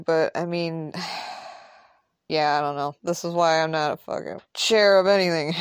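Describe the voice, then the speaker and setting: A woman's voice: on the low side, smooth, dry recording, clean studio sound, natural voice. A woman playing a blunt, protective, foul-mouthed man in an audio drama, rambling and emphatic. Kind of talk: naturalistic audio drama dialogue.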